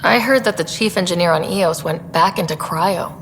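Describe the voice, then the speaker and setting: An actress as a composed woman explorer in a sci-fi game. Her voice sits fairly low and she speaks earnestly and evenly. There is a long reverb around her with a little noise underneath. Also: moderate pace, a bit bright, lightly acted. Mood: composed, businesslike. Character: composed, supportive young female space explorer and leader. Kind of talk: video game dialogue. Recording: reverberant, faint background noise.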